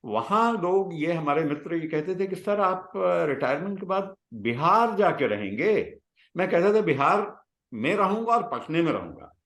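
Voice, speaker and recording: A man, telling personal stories reflectively in conversation, with halting pauses between phrases. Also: sits high, lively intonation, fairly bright tone. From Bihar, India. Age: 60s. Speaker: guest, retired scientist. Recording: roomy, echoing sound.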